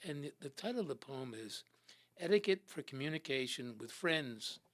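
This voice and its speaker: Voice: medium pitch, lively intonation, moderate pace. A host chatting with a guest poet, hesitant with frequent restarts. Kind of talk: casual chat with a guest.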